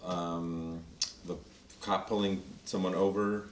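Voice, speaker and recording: A man talks thoughtfully in a casual chat, pausing to hunt for words. His voice is very low. He sounds distant from the mic in an echoey room with faint noise. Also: flat delivery.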